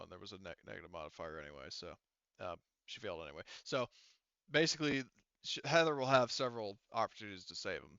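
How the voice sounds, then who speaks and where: Bright, clear-voiced man as game master. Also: mid-range voice, no echo, dull audio. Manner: halting, muttering to himself while checking rules; casual, swearing. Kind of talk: running a tabletop roleplaying game, improvised rules narration.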